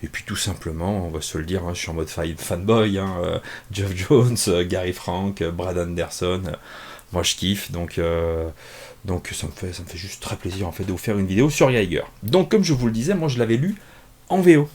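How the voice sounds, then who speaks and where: A man's voice: sits low, normal speed, darkish tone. A man chatting casually and unscripted from his small home office, reviewing a comic, self-mocking, with many fillers and restarts.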